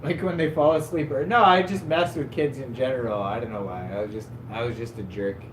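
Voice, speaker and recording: Man, mid-pitch, casual stream host, loose rambling delivery, roomy echo with a faint hum behind. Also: fairly quick. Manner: rambling, loose, peppered with "like" and self-mocking laughs. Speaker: livestream host. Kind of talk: casual stream chat, storytelling. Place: at his desk at home. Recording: echoey room, faint background hum.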